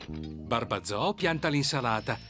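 A man's voice: medium pitch, animated, a little slow, music in the background, lightly acted. A man narrating a children's cartoon in Italian as a friendly, scripted storyteller.

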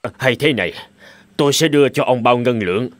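An actor playing a weary refugee father in a cartoon folk tale, polite and measured. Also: mid-range voice, no room sound, natural voice. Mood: earnest.

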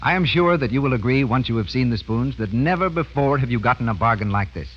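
Husky, animated 1930s American radio announcer. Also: male voice, mid-range voice, fast talker. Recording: muffled 1930s radio broadcast recording.

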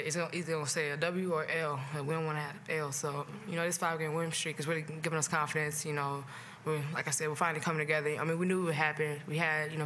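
A woman's voice: low-pitched, fairly bright tone, slight background noise. A young woman basketball player answering postgame questions casually, with lots of "like", into a table mic. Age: young adult. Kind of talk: postgame press conference answers. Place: press conference room.

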